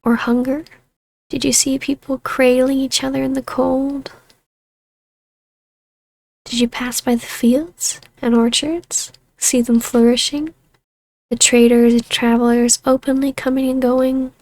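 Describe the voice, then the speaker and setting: An imperious vampire baroness, played by a woman in an ASMR fantasy roleplay. Her voice sits fairly high and keeps a very even, controlled line, taunting in a measured hush that slips into whispers. The sound is completely dry, with no room around it. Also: no room sound, low-quality recording. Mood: indignant, proud. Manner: slow, hushed, breathy delivery slipping into whispers. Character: vampire baroness, ancient huntress turned ruler, taunting, imperious, regal. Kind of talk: scripted ASMR audio roleplay.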